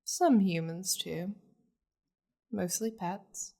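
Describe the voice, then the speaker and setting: Woman playing a high-ranking demon lady, unhurried, possessive, flirtatious, haughty and teasing, mid-pitched, intimate ASMR roleplay. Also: animated, vocal fry, dark tone, exaggerated voice. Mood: teasing, reassuring. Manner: teasing, commanding, haughty endearments.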